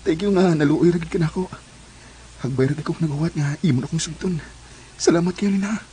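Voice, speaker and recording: An actor plays an earnest young suitor in a Cebuano radio drama. His voice is dark and mellow, with a Cebuano Filipino accent and a devoted manner. Faint noise sits behind it. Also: fairly high pitch, quick pace, natural voice. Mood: elated, loving. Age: young adult.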